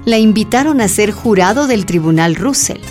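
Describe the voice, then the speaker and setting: A woman narrating a radio drama in an even, storytelling way. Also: mid-range voice, moderate pace, faint music behind.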